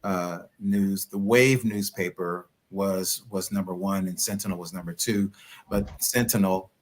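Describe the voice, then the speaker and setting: Male podcast host, fairly low voice, enthusiastic, affirming. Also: fairly even intonation, measured pace. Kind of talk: interview questions.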